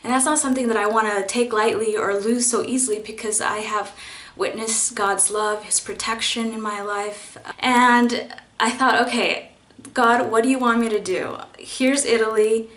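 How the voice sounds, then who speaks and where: A woman's voice: a little high, fairly even intonation, normal speed, a bit bright. Young woman, college student, earnest and reflective, lots of ums and restarts, echoey room. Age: young adult. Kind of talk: personal faith testimony vlog.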